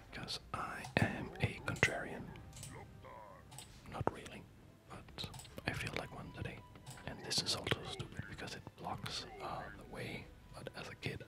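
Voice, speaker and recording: A person whispering slow, breathy ASMR commentary over a video game, musing playfully and hesitantly.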